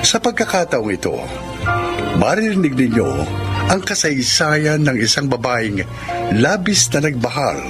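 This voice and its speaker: A man narrating a radio drama as its announcer, solemn and measured in a scripted dramatic style. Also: medium pitch, sing-song. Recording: loud music behind the voice.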